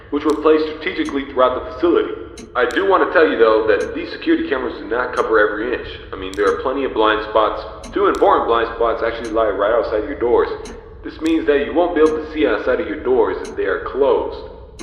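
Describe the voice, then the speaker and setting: A man's voice: mid-range voice, a bit bright, natural voice. A man playing a pizzeria manager who briefs a new night guard in a polite, explanatory, scripted game phone message. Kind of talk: scripted instructional phone-call monologue. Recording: echoey with long reverb.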